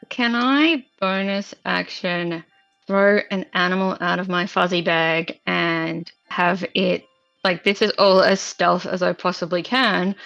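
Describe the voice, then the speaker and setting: A woman's voice: lowish voice, gravelly, bright, forceful tone, smooth. A woman chatting casually and informally as a player in a tabletop roleplaying game. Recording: muffled audio with music underneath. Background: background music.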